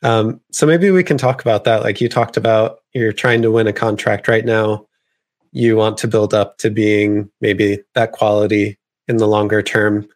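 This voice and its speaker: Casual male interviewer, lowish voice. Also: fairly even intonation, moderate pace. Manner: casual, friendly questioning with fillers. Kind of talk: interview questions.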